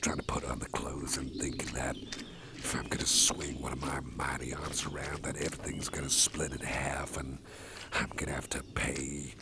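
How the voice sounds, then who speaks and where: A man whispering softly and slowly in an ASMR roleplay as a caring boss carrying the listener. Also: rumbling low, little pitch movement, very noisy. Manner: slow, gentle, mostly whispered, soothing. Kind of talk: soft-spoken ASMR roleplay. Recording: loud outdoor nature ambience behind. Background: rural outdoor sounds with birds.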